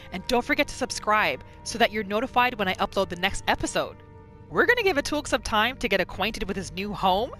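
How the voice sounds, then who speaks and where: Animated bright-voiced woman gamer. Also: mid-range voice. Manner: expressive, exclamatory reactions. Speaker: host of a gaming roleplay series. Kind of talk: game playthrough commentary with in-character storytelling.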